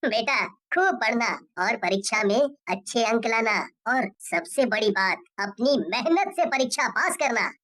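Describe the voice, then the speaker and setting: A performer voicing a humble, kind male shopkeeper, a loving husband and father who speaks gently and earnestly in a cartoon story. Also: medium pitch, unhurried, few pauses, pitched below the performer's own voice.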